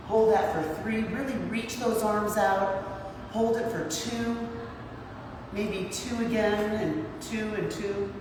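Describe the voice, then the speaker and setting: Low husky woman in her sixties coaching a workout, counts reps, echoey gym, mic far off, background noise. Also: slow pace. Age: 60s. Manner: encouraging, counts reps aloud. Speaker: fitness instructor. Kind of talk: guiding a workout class. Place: large gym.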